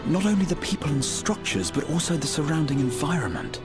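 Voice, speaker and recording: A man voicing a learned, thoughtful adventurer in a fantasy video game, with measured, analytical phrasing. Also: on the high side, sing-song, over loud music.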